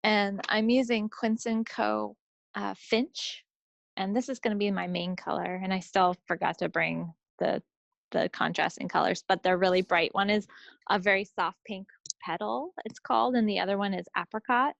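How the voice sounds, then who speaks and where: A woman's voice: mid-range voice, fairly even intonation, normal speed, gravelly, no echo, dull audio. A woman co-hosting a hobby podcast, talking in a casual, chatty style. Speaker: co-host of a knitting video podcast. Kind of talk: casual chat.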